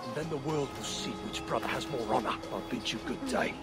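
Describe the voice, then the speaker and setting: An actor playing a greedy, proud, lovesick smuggler in a video game, with a florid, haughty manner. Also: male voice, mid-range voice, brisk, close to an everyday voice. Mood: jealous, threatening. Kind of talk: video game drama acting. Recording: very loud music behind. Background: music.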